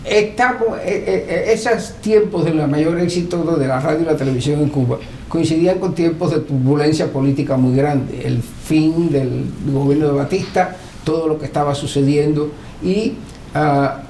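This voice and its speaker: High-voiced man interviewing, echoey room. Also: normal speed, darkish tone. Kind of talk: oral history interview questions. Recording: roomy echo with faint background noise.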